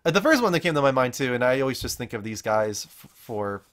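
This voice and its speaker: A man's voice: medium pitch, big pitch swings, brisk, a bit bright. Man casually hosting a gaming panel. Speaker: show host leading a panel. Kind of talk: casual video game panel chat.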